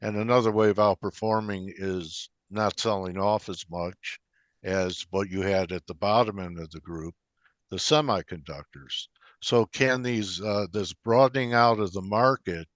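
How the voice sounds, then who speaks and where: Male market-show interviewer, deep voice, dry sound, conversational questioning style. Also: no room sound. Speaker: interviewer, host.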